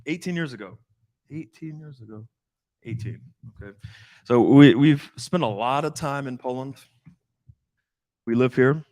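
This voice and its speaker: A man co-hosting a live stream as a dating coach, speaking bluntly with halting restarts and repeated phrases. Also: medium pitch, darkish tone, no echo.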